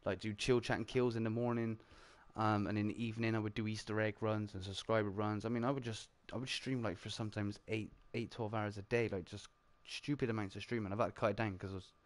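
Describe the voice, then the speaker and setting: A man with a British accent, hosting a casual round-table chat about games, talking in quick bursts, often laughing and filling with "like". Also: on the low side. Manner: animated, chatty, laughs often, heavy filler "like". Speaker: streamer and show host.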